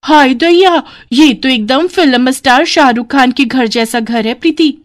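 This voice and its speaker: A woman's voice: high voice, unhurried, pitched above the performer's own voice. A woman voicing a brash, cheeky young village daughter-in-law in a folksy, exclamatory way with a rustic Banaras accent, for a story. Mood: amazed.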